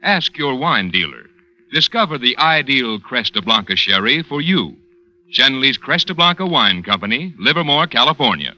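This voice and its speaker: A man's voice: mid-range voice, very animated, normal speed, sharp tone, muffled recording. A man reading a commercial in a polished, punchy 1940s radio announcer style. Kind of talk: 1940s radio advertising read with spelled-out slogan. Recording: vintage 1940s radio broadcast.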